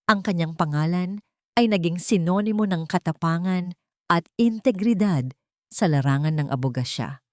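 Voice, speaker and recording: A woman's voice: lowish voice, moderate pace, a little mellow, no echo, clean studio sound. A woman reading a dramatic scripted story as a storyteller.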